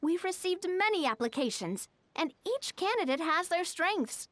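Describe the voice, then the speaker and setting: A woman's voice: very high voice, very animated, moderate pace, a bit bright, no room sound, full character voice. A woman voicing a poised, cheerful young noblewoman in a video game, explaining things in a polished, articulate way. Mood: businesslike, upbeat. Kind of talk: video game story dialogue acting. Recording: studio voice over game music.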